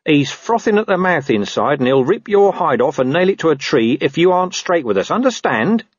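A man's voice: on the high side, very animated, brisk, dry recording, pitched above the performer's own voice. A man voicing a cynical, scruffy talking street dog who grumbles and wheedles. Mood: menacing. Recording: dull dry recording.

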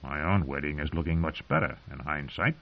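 A man voicing a weary dwarf nobleman who speaks quietly with dry, sardonic wit. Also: fairly low pitch, expressive, moderate pace, vocal fry, slightly rough, highs cut off, full character voice. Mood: grim.

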